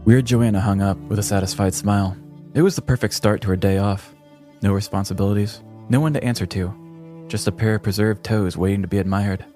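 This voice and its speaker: A man narrating a scripted true-crime comedy podcast in a theatrical, deliberate storytelling manner. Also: lowish voice, steady tone, mellow, soft background music.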